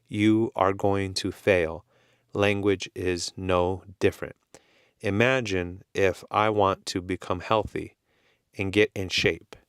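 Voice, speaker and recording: Slow, deliberate Californian man teaching English. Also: on the low side, a bit bright, smooth, no echo, clean studio sound. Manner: slow, deliberate, plainly worded, repeats key points. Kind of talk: slow, simple explanation for learners.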